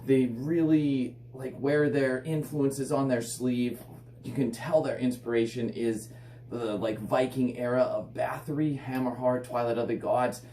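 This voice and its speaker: Hoarse man raving about an album. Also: mid-range voice, steady tone, unhurried, warm tone. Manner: enthusiastic, filler-heavy rambling with frequent "like". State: hoarse and raspy from illness. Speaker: metal album reviewer. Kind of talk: casual spoken review. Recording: echoey room, slightly distant mic, faint hum.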